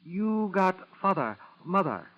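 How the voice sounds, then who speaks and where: An actor playing a suspicious, falsely humble Japanese chauffeur with halting, broken English and a Japanese accent, in 1940s radio style. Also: male voice, high-pitched, big pitch swings, a bit bright, heavily acted. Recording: dull 1940s radio recording.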